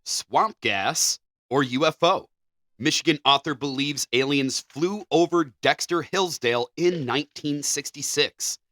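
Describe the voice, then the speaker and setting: Male co-host with a crisp, bright edge, reading stories aloud in a conversational way. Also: a little high, measured pace, dry recording, soft background music. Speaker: podcast co-host. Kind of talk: casual chat and reading news articles aloud.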